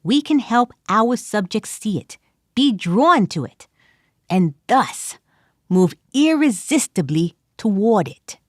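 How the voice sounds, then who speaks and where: A woman's voice: medium pitch, very animated, slow pace, no echo, studio quality. A woman voicing an earnest adventurer who gives a grand, formal plea for peace.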